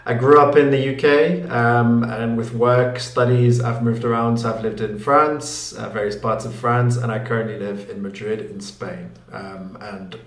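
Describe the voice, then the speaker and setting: A man's voice: medium pitch, steady tone, measured pace, few pauses. Roomy-sounding man in his late twenties. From Newcastle, England. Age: late 20s. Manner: thoughtful, many fillers and restarts, laughs easily. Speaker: guest, finance YouTuber. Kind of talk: casual interview answers. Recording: roomy echo.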